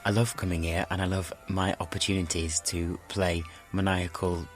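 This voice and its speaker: Enthusiastic male actor, deep voice, casual interview over faint music. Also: little pitch movement, moderate pace. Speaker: actor interviewed behind the scenes.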